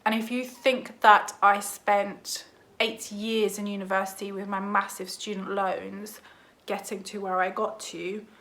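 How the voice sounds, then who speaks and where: A young woman in her twenties speaks to her viewers in a relaxed vlog. Her voice is croaky from a cold, and her delivery is chatty and frank, full of casual fillers. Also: medium pitch, measured pace. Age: 20s. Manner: chatty and candid, many ums and likes. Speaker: junior doctor vlogger. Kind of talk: casual vlog talk to camera.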